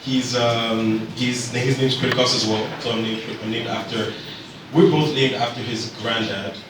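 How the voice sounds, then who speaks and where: A young man in his twenties from Zambia telling his life story to an audience in a relaxed, halting way with many fillers. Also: medium pitch, background noise. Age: 20s. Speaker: speaker at a storytelling event. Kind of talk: personal storytelling talk. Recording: echoey room picked up from a distance.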